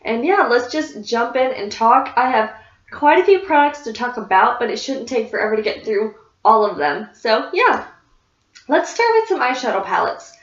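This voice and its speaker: A woman's voice: on the high side, big pitch swings, normal speed. Congested woman chatting in an echoey room. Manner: rambling, many fillers and restarts. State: congested, sniffly. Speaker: beauty vlogger. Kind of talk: casual product review chat. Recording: echoey room, dull lo-fi sound.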